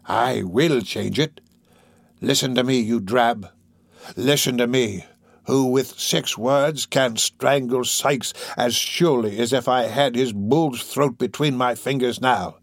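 A man acts out a crafty old villain from a classic storybook. The voice croaks and drags its words out slowly and oilily, in a close, dry recording. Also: mid-range voice, lively intonation, dry recording, exaggerated voice. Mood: furious. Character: crafty old male criminal, wheedling, then furious.

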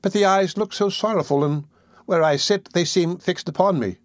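A man voicing a timid, sickly orphan boy who speaks softly, politely and hesitantly, in a storybook reading. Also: sits high, moderate pace, no room sound, clean studio sound, pitched above the performer's own voice, natural voice.

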